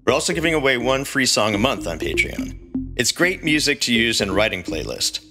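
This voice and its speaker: A man's voice: medium pitch, sing-song, a little fast. A man co-hosting a podcast, reading scripted announcements. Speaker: podcast co-host. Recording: prominent music behind the voice.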